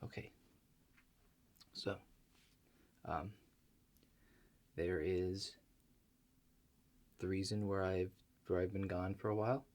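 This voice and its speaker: Slow, low-pitched man in ASMR roleplay. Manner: halting, hesitant, deadpan. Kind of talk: improvised ASMR roleplay monologue. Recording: clear background noise.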